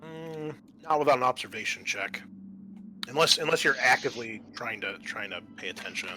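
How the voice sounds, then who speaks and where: A man working as game master, improvising narration for a tabletop roleplaying game in an informal, conversational, halting way. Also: mid-range voice, lively intonation, pushed and bright, slight background noise. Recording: music behind the voice. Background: music.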